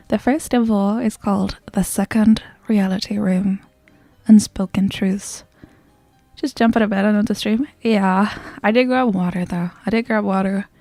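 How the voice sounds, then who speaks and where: A woman hosts a relaxed livestream in a soft ASMR style. Her voice is fairly high yet mellow, with a noticeable creak. She talks casually and gently, sometimes near a whisper, close to the microphone. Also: no echo. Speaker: livestreamer greeting chat and hosting a game stream.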